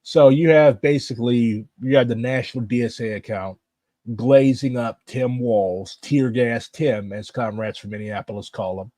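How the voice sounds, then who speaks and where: A man's voice: mid-range voice, normal speed, dark tone, dry recording. Guy with a st. ranting about politics, blunt and fired up, dry close sound. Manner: blunt and impassioned. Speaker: political activist. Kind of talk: political commentary.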